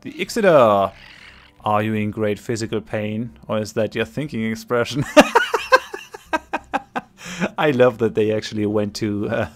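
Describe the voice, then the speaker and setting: A man's voice: on the low side, unhurried, long pauses. A man with a cold narrating his strategy game as a let's play host, thinking aloud with many ums and restarts and laughing readily. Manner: laughs readily between remarks. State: has a cold. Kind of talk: casual gameplay commentary.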